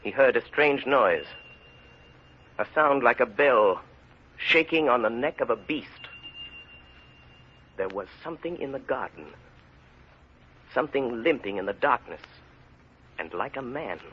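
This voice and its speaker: An actor playing a grave, intense storyteller and hunted man in vintage radio drama, measured and theatrical. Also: mid-range voice, lively intonation, slight background noise, lightly acted. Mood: grave, suspenseful. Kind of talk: 1930s-40s dramatic radio narration and acting. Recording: muffled vintage radio recording.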